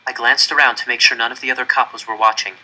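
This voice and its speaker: A man narrates a story aloud with a very bright, forceful voice at a medium pitch. His delivery is earnest and suspenseful, shaped as dramatic first-person narration. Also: steady tone, quick pace, poor audio. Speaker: audiobook-style reader.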